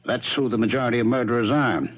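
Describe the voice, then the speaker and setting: An actor playing a methodical police detective in a 1970s radio mystery, probing and insistent in a measured way. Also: male voice, medium pitch, close to an everyday voice. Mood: accusing, insistent. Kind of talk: 1970s radio drama acting. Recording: dull lo-fi 1970s radio broadcast.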